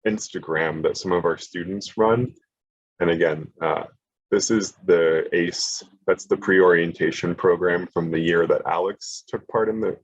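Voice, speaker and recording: Low husky-voiced man presenting online. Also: no echo, lo-fi sound. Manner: casual, lots of fillers and restarts. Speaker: college admissions presenter.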